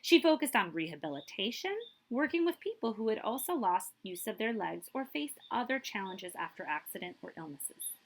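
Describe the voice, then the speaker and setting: A woman's voice: mid-range voice, sing-song, moderate pace. A woman reading a picture book aloud like a children's librarian, emphatic and drawing out key words, with brief asides to her listeners.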